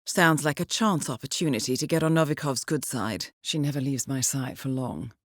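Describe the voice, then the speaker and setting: An actress playing a composed, coolly wry intelligence handler in a video game, giving formal, measured, dryly understated mission briefings. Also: female voice, extremely low pitch, no echo, clean studio sound.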